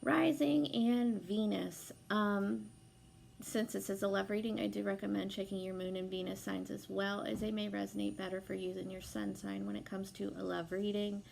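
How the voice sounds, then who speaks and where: Hoarse, gravelly-voiced sick woman. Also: medium pitch, fairly even intonation, moderate pace, a little mellow, a little hiss or hum, poor audio. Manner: chatty, frequent fillers, casual swearing. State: sick, losing her voice. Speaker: tarot reader. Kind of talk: casual tarot reading to camera.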